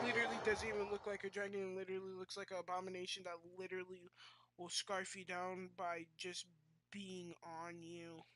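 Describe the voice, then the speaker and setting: A woman's voice: lowish voice, fairly bright tone. A woman giving casual, joking playthrough commentary as a game streamer, rambling and slangy. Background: game music.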